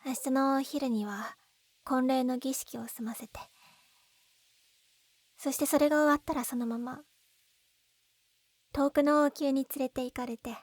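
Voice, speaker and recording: Girl voice actress doing soft high breathy lovesick young woman, asmr roleplay, super close dry mic, tender and emotional. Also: steady tone, a little slow, long pauses, dry recording. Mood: sad, resigned. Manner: soft, breathy, intimate, often trailing into whispers. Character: lovesick young woman, gentle, tearful, pleading with childhood friend. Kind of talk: Japanese ASMR-style situation voice roleplay. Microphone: close binaural dummy-head microphone. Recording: very dry, close binaural recording.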